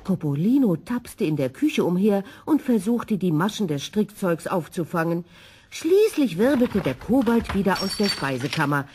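A woman reading a children's story in an expressive, scripted narrating style. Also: medium pitch, moderate pace, a little hiss or hum.

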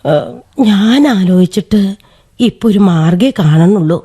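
A woman's voice: lowish voice, unhurried, warm tone, husky. A woman with a Kerala accent playing a firm, elderly village aunt who runs the household in a radio drama. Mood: firm, practical. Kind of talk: Malayalam radio drama acting.

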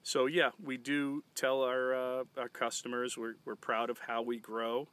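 A man's voice: medium pitch, flat delivery, measured pace, a bit bright, smooth. A man in his forties, a farmer, talking plainly about his farm in an outdoor interview, with a good number of fillers. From Massachusetts. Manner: plain, matter-of-fact, with frequent fillers. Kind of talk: casual interview talk.

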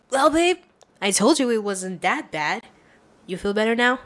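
A woman's voice: a little high, lively intonation, slow pace, fairly bright tone. A woman performing a girlfriend roleplay for listeners, coaxing and affectionate. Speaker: ASMR roleplay creator.

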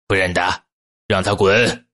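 Woman acting haughty, sharp-voiced middle-aged gentleman. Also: a bass voice, steady tone, measured pace, pitched below the performer's own voice, heavily acted. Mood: scornful. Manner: curt, dismissive. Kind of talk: audiobook character acting.

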